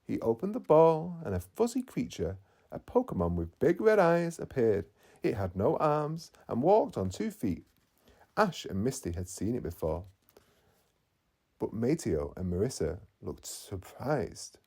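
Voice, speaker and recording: A man narrating a children's bedtime story in an expressive storytelling manner. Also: mid-range voice, unhurried. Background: faint music.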